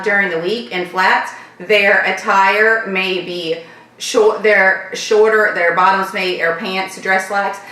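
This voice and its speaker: A woman from Florida with a light Southern accent, hosting a casual viewer Q&A vlog and rambling warmly through her answers. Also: mid-range voice, far from the mic. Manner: chatty, repeats thanks, loose rambling sentences. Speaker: channel host answering viewer questions. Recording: echoey room, voice a bit off-mic.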